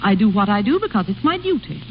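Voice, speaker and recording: Casting: woman playing sardonic, commanding royal lady, very expressive intonation, muffled vintage radio sound. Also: medium pitch, fast, soft background music, close to an everyday voice. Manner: haughty, dry, commanding. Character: imperious, world-weary, sardonic grand duchess. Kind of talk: 1930s radio drama acting. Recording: old radio broadcast, muffled with faint hiss.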